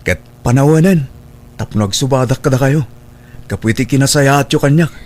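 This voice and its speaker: A man's voice: medium pitch, fairly quick, a little hiss or hum, natural voice. A man playing a sincere, earnest young adult graduate in a radio drama, with a Filipino accent. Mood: grateful, wistful. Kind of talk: Ilocano radio drama acting.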